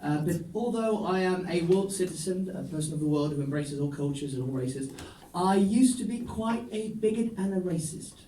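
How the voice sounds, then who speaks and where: Wry high-voiced comedian, echoey club. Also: male voice, normal speed, few pauses, slight background noise. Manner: dry, ironic storytelling with deadpan asides. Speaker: stand-up comedian. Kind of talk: stand-up set. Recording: echoey room, voice from a distance.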